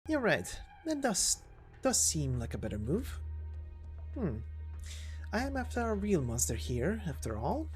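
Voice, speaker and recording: A man's voice: high voice, wildly swooping pitch, unhurried, long pauses, soft background music, voice pushed up, heavily acted. A man voicing a brash, taunting young female mercenary in amateur voice acting of a game story. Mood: musing, defiant.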